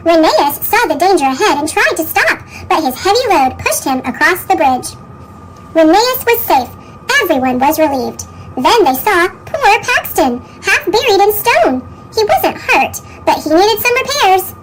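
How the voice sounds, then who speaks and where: Woman, chipmunk effect, extremely high pitch, lively storybook narration, noisy recording. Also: measured pace. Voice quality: artificially squeaky chipmunk voice. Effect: sped-up chipmunk pitch shift. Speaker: storybook reader. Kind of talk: scripted read-aloud children's story.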